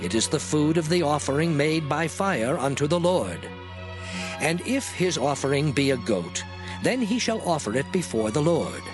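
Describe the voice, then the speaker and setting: Solemn bible lawgiver voice, declaiming scripture, really varied intonation, music behind. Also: male voice, fairly high pitch, sing-song, normal speed. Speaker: scripture reader. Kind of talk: dramatized Bible reading. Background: music under the voice.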